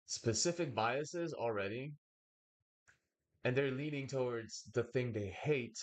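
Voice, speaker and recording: Low-voiced man musing slowly on camera. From United States. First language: Bulgarian. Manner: slow, hesitant, wordy monologue with pauses. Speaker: reaction streamer. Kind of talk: casual commentary.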